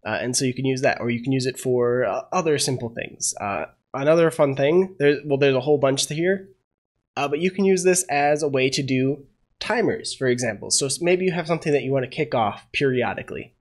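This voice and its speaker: A man's voice: mid-range voice, a little fast. A young man getting over a cold, walking through a coding tutorial as he thinks aloud, with lots of "uh" and unsure asides. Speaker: programming tutorial host. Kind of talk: casual screen-share coding tutorial.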